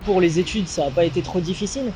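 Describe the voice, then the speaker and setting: High-voiced man interviewing, quick pace. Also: dark tone, slight background noise, rough recording. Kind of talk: conversational interview questioning.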